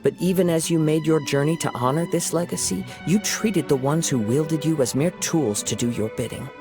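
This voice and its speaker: An actor voicing a calm, perceptive young wandering samurai in a video game, with a measured, soothing delivery. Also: male voice, a little high, over music, close to an everyday voice.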